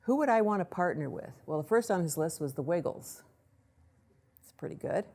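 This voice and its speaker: A woman presenting a startup case study to an audience in a lively storytelling way, acting out what people said. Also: on the low side, normal speed. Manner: lively storytelling, acts out quoted voices. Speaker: accelerator program director giving a presentation.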